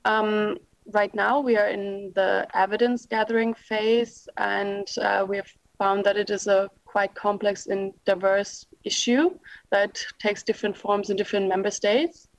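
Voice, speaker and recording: A woman official walks through a policy plan in a careful, halting way. Her intonation barely moves, staying level and even. She is on a video call, and the sound is dry and close with no room echo. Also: medium pitch. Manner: measured, with frequent pauses and filler sounds. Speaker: policy official at a panel discussion. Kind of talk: formal briefing answer.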